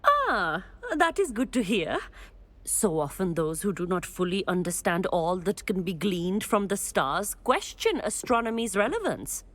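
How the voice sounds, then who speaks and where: An actress playing a proud, precise astronomy professor, prim, scholarly and faintly disdainful, in scripted game dialogue. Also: female voice, medium pitch, very animated, a little slow, a bit bright, dry recording. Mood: prim, earnest.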